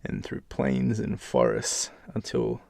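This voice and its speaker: An Australian man reading a bedtime fairytale in an informal, relaxed way as a storyteller. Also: on the low side, takes it slowly, vocal fry, no echo, clean studio sound.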